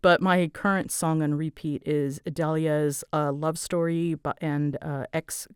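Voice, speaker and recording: A woman's voice: low-pitched, fairly even intonation, a little slow, smooth, no echo, studio quality. A woman chatting casually and unscripted as she answers listener questions, nervous and giggly, often interrupting herself and bouncing between thoughts. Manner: scattered, rambling, jumps between thoughts. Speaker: audio creator and voice actor answering listener questions.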